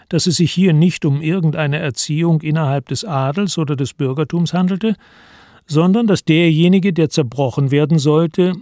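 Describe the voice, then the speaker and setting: An actor as a sober chronicler, giving composed, factual, literary narration in a radio play. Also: male voice, fairly high pitch, darkish tone, no room sound, clean studio sound, lightly acted. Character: sober male chronicler of royal history. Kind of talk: scripted narration.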